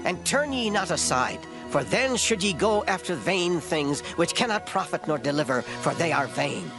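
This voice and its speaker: An actor playing an old, gray-headed prophet, solemn, stern and declamatory, in a dramatized scripture reading. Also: male voice, fairly high pitch, very animated, moderate pace, vocal fry, over music. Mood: solemn, reassuring. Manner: declamatory, grave.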